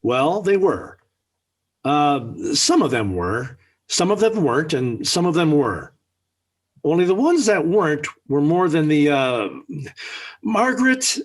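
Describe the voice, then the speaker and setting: Blustering middle-aged father, high voice, slightly husky, flustered, indignant, 1940s radio sitcom. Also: very animated, slow, heavily acted. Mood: defensive, flustered. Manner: emphatic, flustered, sputtering indignation. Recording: old 1940s radio recording.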